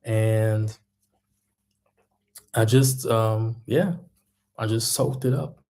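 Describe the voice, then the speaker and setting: A man talks slowly and unhurriedly in a low, mellow voice. He speaks as a coach giving a reflective monologue and often pauses to find the right word. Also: creaky. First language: German. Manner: thoughtful, searching for words. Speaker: mindset coach and music artist.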